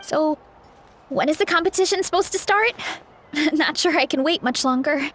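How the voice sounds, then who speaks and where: A woman's voice: sits high, moderate pace, fairly bright tone, a little hiss or hum. A woman playing a sweet, easygoing young athlete in a video game, speaking in a bubbly, earnest way. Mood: eager. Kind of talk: animated game dialogue acting.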